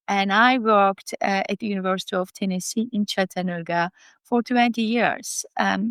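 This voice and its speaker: A woman's voice: mid-range voice, vocal fry, a bit bright, clear, resonant tone, no echo. A woman in her fifties or older with a Turkish accent, answering interview questions as a college dean. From Turkey. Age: 50s or older.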